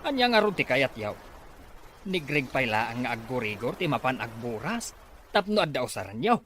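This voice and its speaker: A man's voice: medium pitch, sing-song, moderate pace, fairly bright tone, slight background noise. A man playing a stern, scolding farmer father in an Ilocano radio drama. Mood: scolding, exasperated. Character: stern farmer father scolding his lazy college-age children.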